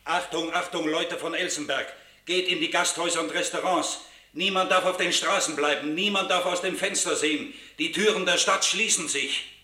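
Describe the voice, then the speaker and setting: An actor playing an urgent town announcer proclaiming ominous warnings over a loudspeaker in a radio play. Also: male voice, fairly high pitch, fairly even intonation, normal speed, a little hiss or hum. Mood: ominous, commanding. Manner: proclaiming, ominous, repetitive calls. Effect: public address loudspeaker. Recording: distant echoing loudspeaker announcement. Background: music.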